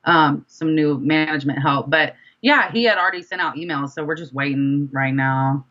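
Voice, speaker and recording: A woman's voice: deep voice, moderate pace, a bit bright, muffled recording. A young adult woman from the southern United States chatting casually and expressively as an interview guest. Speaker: guest rapper and songwriter being interviewed.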